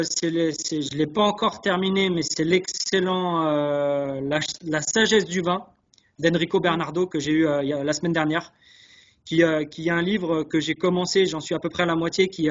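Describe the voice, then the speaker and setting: A man answering interview questions earnestly, hesitating and repeating words as he searches, on dull webinar audio. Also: a little high. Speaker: guest, chef sommelier. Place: online video call.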